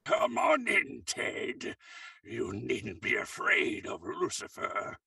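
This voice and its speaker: A man playing a gravelly, sly old uncle. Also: mid-range voice, wildly swooping pitch, slow, heavy background noise, full character voice. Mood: sly taunting. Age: older. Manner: taunting, sly. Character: feeble old uncle, maliciously amused and suspicious. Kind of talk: old-time radio horror acting. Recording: distant from the microphone.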